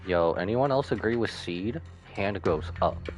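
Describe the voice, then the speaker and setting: A man's voice: lowish voice, expressive, measured pace. A man giving casual, wisecracking commentary as he plays a video game, in slangy speech. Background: game music.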